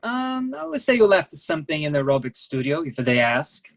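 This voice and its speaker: An actor in a staged play reading, playing a resigned, candid immigrant massage therapist who speaks in clipped, non-native phrasing, matter-of-factly. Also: male voice, fairly high pitch, big pitch swings, a little fast. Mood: wary, matter-of-fact.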